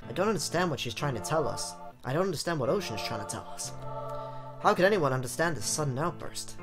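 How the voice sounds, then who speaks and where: A man hosting a let's play, mixing excitable, jokey commentary with dramatic reading aloud of the game's text. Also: on the high side, a little fast. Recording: game music behind the voice.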